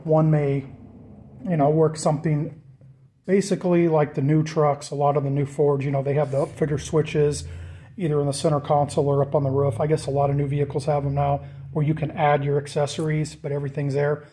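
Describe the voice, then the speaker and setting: A man giving a casual, off-the-cuff walkthrough of a DIY project, informal and thinking out loud as he goes. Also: on the high side. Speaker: DIY vlogger presenting a build.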